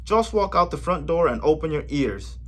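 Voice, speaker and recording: A man voicing a loving elderly grandmother who gives brisk instructions. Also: a little high, sing-song.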